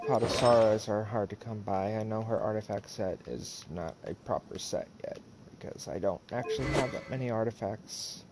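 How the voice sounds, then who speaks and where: A man doing casual live game stream commentary in a halting, rambling way. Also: on the low side, gravelly, heavy background noise. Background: video game music.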